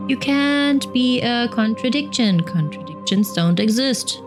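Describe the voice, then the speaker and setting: A woman's voice: fairly high pitch, animated, a little slow. A woman with a German accent, a native German speaker, hosting a let's play, reading game text aloud and commenting casually. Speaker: gaming video host. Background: game music.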